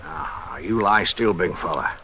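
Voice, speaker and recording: Deep-voiced, soothing bedside man. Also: lively intonation, unhurried, bright, forceful tone, heavily acted. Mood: calm, coaxing. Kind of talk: 1940s-50s radio drama acting. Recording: faintly noisy vintage radio recording.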